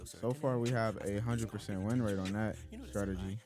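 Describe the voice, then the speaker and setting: A man's voice: lowish voice, fairly even intonation, moderate pace, a crowd in the background. A man hosting a live trading stream, laid-back and slangy, swearing casually as he talks to members.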